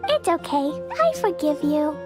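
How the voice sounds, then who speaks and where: A woman's voice: high-pitched, sing-song. A woman voicing a sweet, innocent, trusting little girl in a video game, childlike and earnest. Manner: earnest, simple, drawn-out speech. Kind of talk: animated video game character acting. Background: music.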